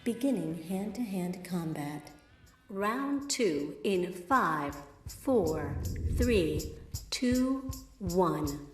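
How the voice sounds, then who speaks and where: A woman voicing a composed computer that formally announces combat training rounds in an animated series, measured and impersonal. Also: fairly low pitch. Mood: impersonal, procedural. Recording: echoing voice under loud music.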